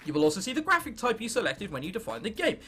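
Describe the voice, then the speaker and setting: A man's voice: high voice, wildly swooping pitch, racing, sharp tone, soft background music. A nineteen-year-old man with an English accent doing casual, jokey let's play commentary, swearing freely and reading game text aloud. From England. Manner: jokey, sweary, self-interrupting. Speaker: gaming video host.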